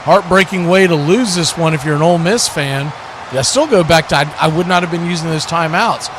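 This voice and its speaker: A man's voice: on the high side, a little fast, noisy background. A man doing live play-by-play and casual analysis of a football game in a conversational way, sometimes correcting himself. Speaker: play-by-play commentator hosting a watch party stream.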